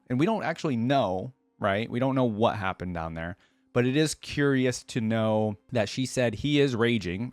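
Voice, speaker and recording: A man's voice: medium pitch, no room sound. A man hosting a reaction video, giving casual commentary and musing aloud as he goes. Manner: musing, thinking aloud, repeats phrases he hears.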